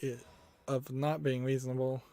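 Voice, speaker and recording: A man hosting a game news channel, giving casual commentary while browsing store reviews and musing aloud with frequent pauses and hesitations. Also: mid-range voice, flat delivery, dark tone.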